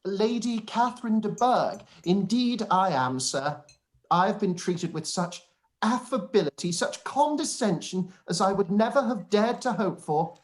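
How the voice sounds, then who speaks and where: A man's voice: high-pitched, lively intonation, measured pace. A pompous, fawning clergyman. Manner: pompous, unctuous, elaborately formal. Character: pompous, obsequious young clergyman, self-satisfied and long-winded. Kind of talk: live-read radio play, letter read in character.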